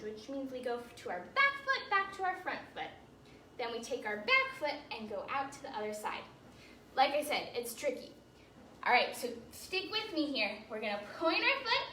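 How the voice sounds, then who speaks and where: A woman's voice: high voice, pushed and bright, a little hiss or hum. A woman teaching a ballet class to children, giving simple step-by-step instructions and frequent encouragement. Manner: encouraging, praising often, simple child-directed explanations. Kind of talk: instructional ballet class for kids, counting out steps. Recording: roomy echo, music in the background.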